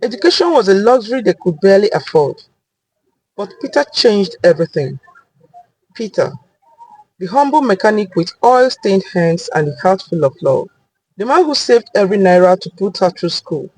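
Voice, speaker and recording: A woman narrating a scripted story in a dramatic, deliberate, expressive way. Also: mid-range voice, husky, poor audio.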